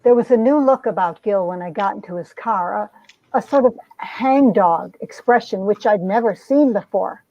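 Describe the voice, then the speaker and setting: A woman's voice: mid-range voice, normal speed, dark tone, no echo, natural voice. A woman playing a wounded, proud young heroine, narrating and acting out a romance comic with earnest melodrama. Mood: melodramatic narration. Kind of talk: dramatic reading of a 1950s romance comic, narration and dialogue. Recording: dull, lo-fi sound.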